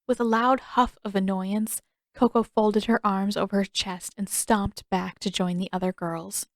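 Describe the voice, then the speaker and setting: A woman's voice: medium pitch, fairly even intonation, a little slow, no echo, studio quality. A woman reading scripted fiction as an audiobook narrator.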